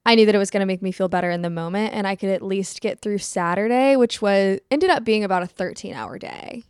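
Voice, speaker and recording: Chatty young woman, smooth voice. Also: mid-range voice, fast talker, no room sound, studio quality. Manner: chatty, animated storytelling. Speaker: podcast co-host. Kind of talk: casual chat.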